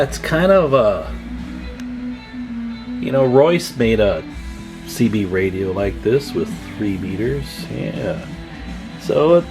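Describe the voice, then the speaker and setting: A man talking casually and informally as he hosts a hands-on radio repair demonstration, in a chatty, folksy manner. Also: medium pitch. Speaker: host of a CB radio repair channel.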